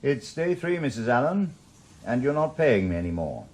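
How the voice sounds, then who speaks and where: An actor playing a stubborn, dry-witted retired detective in a 1980s radio drama, with a Welsh accent. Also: male voice, medium pitch, big pitch swings, moderate pace, darkish tone. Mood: dogged. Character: dogged retired detective, middle-aged man, stubborn. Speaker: retired detective turned private investigator.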